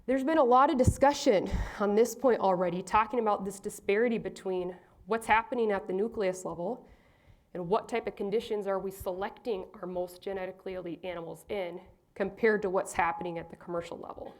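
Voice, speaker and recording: A woman with a naturally loud voice presents at a conference. She speaks with energy and emphasis, often checking in with the room with a quick "right?". Her pitch sits in an ordinary middle range. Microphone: clip-on mic at a lectern.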